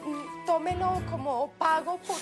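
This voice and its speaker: A humble, dutiful female office worker in telenovela style. Her high voice hesitates and defers as she apologizes, with music playing clearly underneath. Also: unhurried. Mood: meek, apologetic. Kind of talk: telenovela drama acting. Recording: TV drama clip with loud music underneath.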